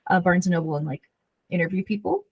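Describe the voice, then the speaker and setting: A woman's voice: fairly low pitch, quick pace, breathy edge, no echo, dull audio. A woman co-hosting a casual book podcast, talking informally with plenty of conversational "like". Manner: informal, conversational, heavy use of "like". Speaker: podcast co-host. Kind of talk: casual book chat between friends.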